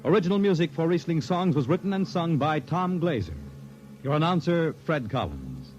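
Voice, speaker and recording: A man announces credits in vintage 1950s radio style. His pitch is fairly high and very lively, over faint music on a muffled old recording. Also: moderate pace.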